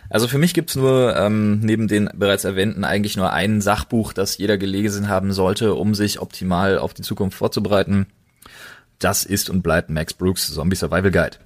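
Low-pitched man in enthusiastic podcast chat. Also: fairly even intonation, normal speed. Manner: enthusiastic, colloquial recommending. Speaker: podcast host.

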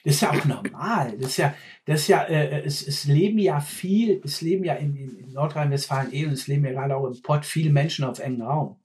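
A man talks bluntly in a relaxed chat. His voice sits a touch high for a man, and he keeps circling back on his own words as he hunts for the next thought. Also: fairly quick. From Ruhr area, Germany. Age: 50s. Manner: blunt, repeats words while searching. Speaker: former mine fitter, guest. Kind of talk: casual conversation.

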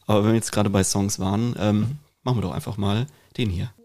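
A man's voice: lowish voice, fairly even intonation, fast, darkish tone. A man co-hosting a podcast in casual conversation, rephrasing his sentences as he goes. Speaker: podcast co-host.

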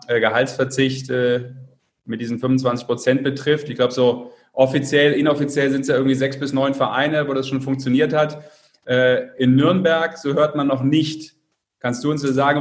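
A man interviewing a guest on a podcast, putting conversational questions in roundabout, halting phrases. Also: medium pitch.

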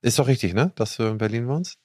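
A man's voice: mid-range voice, lively intonation, fast talker, darkish tone, no echo, studio quality. A man hosting a podcast, introducing his guest and asking friendly, informal questions. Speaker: podcast host.

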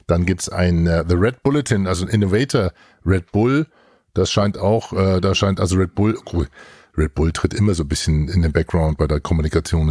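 A man's voice: low voice, darkish tone, dry recording, studio quality. A man co-hosting a podcast in casual banter, colloquial and playful, dropping English buzzwords into his talk. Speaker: podcast co-host and reviewer.

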